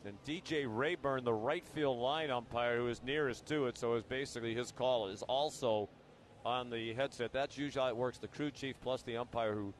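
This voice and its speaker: A man's voice: medium pitch, moderate pace. Male baseball commentator with mid-range voice, faint noise. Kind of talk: live sports commentary. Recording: live ballpark broadcast, faint noise.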